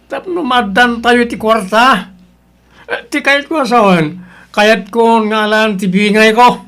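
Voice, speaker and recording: A man's voice: very high-pitched, measured pace, a bit bright, smooth. A man playing a selfish, demanding grown son in a confrontational family radio melodrama. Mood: insistent. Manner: insistent, confrontational. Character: selfish, demanding grown son, a debt-ridden gambler back from sea.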